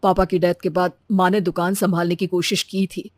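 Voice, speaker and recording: Woman voicing a calm, supportive young husband. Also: fairly low pitch, normal speed, no room sound, studio quality, lightly acted. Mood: calm, explaining. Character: supportive, reasonable adult son and young husband. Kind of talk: animated moral-story cartoon voice acting.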